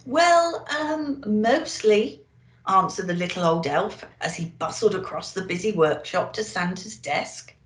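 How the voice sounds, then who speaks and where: A woman speaking as a children's storyteller, expressive and deliberate, as if to young listeners. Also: fairly low pitch. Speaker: storyteller in character as a Christmas elf. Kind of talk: children's storybook reading and festive address. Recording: echoey room.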